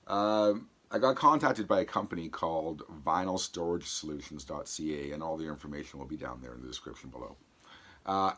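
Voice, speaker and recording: A man hosting a casual vlog demonstration, talking haltingly with a voice that comes and goes from a throat infection. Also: low voice, a bit bright. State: sore throat from an infection, voice going in and out. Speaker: vlog host. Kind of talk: casual product demonstration to camera.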